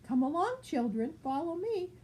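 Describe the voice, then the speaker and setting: A woman's voice: extremely high pitch, very animated, moderate pace, mellow, slight background noise, pitched above the performer's own voice, rough recording. A woman voicing a proud, reassuring mother mallard in a storybook reading. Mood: bright, commanding.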